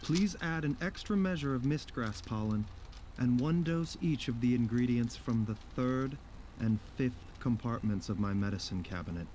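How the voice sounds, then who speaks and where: Man playing a calm, grave, formal doctor. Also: mid-range voice, slight background noise, lightly acted. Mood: calm, instructing. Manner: measured, formal, careful diction. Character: calm, grave, learned male doctor and herbalist. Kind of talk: video game voice acting. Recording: game music under the voice.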